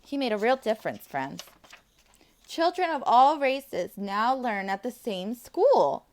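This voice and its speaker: Animated, higher-voiced woman reading to preschoolers. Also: takes it slowly. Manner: enthusiastic, addressing young children as friends. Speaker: preschool teacher. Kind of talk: children's read-aloud with asides to the listeners.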